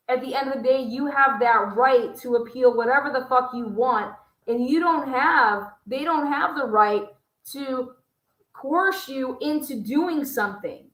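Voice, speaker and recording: Lady livestreamer ranting, kinda high voice, echoey room, swears a lot, really worked up. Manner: emphatic, swearing, indignant. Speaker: livestream host. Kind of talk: impassioned live commentary on a recording. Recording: roomy, echoing livestream sound.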